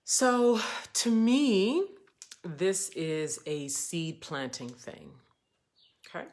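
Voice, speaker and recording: A woman talking slowly and deliberately on a livestream as a spiritual teacher, with some passages dropped to a soft whisper. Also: fairly low pitch, very animated. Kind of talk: livestream monologue. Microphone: webcam or phone on camera.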